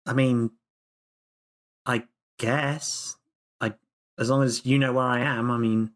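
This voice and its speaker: A man taking part in a tabletop game, speaking in his own middle-pitched voice. He hesitates and then rushes through explanations. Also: level tone. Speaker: player.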